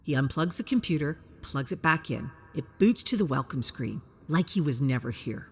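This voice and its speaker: A woman's voice: deep voice, lively intonation, moderate pace, husky. A woman reading a scripted story as a dramatic, suspenseful narrator. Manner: present-tense suspenseful storytelling.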